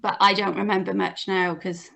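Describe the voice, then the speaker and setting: A young adult woman, telling personal stories in a gentle, hesitant way on a video call. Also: mid-range voice, little pitch movement, moderate pace, gravelly. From Cardiff, Wales. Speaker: interview guest. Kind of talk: casual personal storytelling. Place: small home room with bookshelves, on a video call. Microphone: computer mic on video call.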